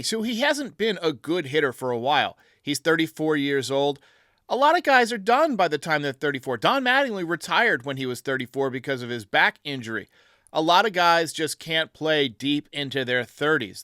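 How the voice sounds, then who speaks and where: A male sports talk host with a bright, forceful voice pitched a little high. He gives opinionated baseball commentary in a dry, close recording. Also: no echo.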